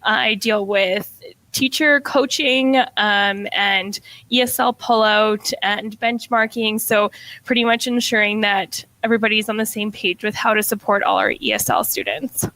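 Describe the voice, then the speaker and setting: A woman's voice: medium pitch, a little slow, bright, forceful tone, rough recording. A young woman in her twenties, a middle school teacher, chatting as a podcast guest, with lots of "like" and "um" and easy laughter. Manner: chatty, fills talk with "like" and "um", laughs often. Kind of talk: casual interview chat.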